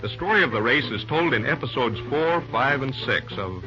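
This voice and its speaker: A man's voice: medium pitch, lively intonation, moderate pace, music in the background. A man delivering dramatic scripted announcements in the style of old-time radio. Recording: muffled old radio recording.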